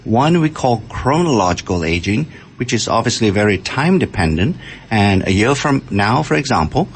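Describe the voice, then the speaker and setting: An older man from Malaysia, a scientist and interview guest, explaining his points with halting starts. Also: fairly low pitch, big pitch swings, a little hiss or hum. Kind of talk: radio interview answers.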